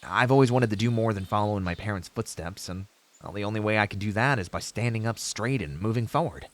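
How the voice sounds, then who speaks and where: A man's voice: lowish voice, quick pace, natural voice. A man playing an earnest, idealistic young novice hero in a fantasy audio roleplay, speaking in formal heroic phrases with hesitant asides to a companion. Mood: sincere, wistful.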